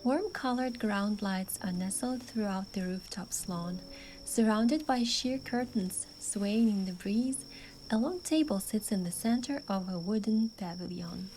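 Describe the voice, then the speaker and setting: A woman's voice: mid-range voice, unhurried, a little mellow, slight background noise, poor audio. A woman reading a scripted first-person story as narrator, with acted lines of dialogue. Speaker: narrator and voice dubber of a game story. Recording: music behind the voice.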